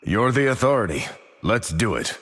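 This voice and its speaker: A man voicing an upright veteran team leader in a video game, calm and commanding. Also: lowish voice, moderate pace, husky, big-room sound, heavily acted. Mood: steady.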